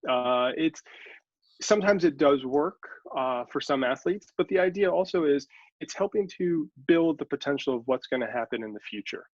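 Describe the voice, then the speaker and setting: A man's voice: on the high side, expressive, moderate pace, no echo. Teacherly man on dry, dull audio. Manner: teacherly, walks through examples step by step. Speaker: sports scientist guest. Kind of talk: explanatory interview answers.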